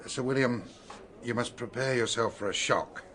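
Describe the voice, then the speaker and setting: A man playing a courteous, sombre British police superintendent with a measured, grave manner in a radio drama. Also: medium pitch, a bit bright, a little hiss or hum, natural voice.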